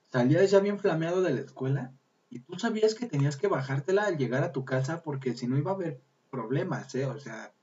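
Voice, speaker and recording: Mexican man, thirties, slangy joking co-host, mellow voice, dull lo-fi sound. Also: a little high. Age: 30s. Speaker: podcast co-host. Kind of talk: casual chat.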